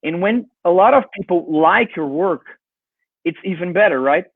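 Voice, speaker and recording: A man from Brazil with a Brazilian accent, a native Portuguese speaker, giving halting, conversational interview answers and often repeating his words. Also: sits high, expressive, no echo. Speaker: interview guest, advertising creative and festival jury member. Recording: narrow tinny phone-like line.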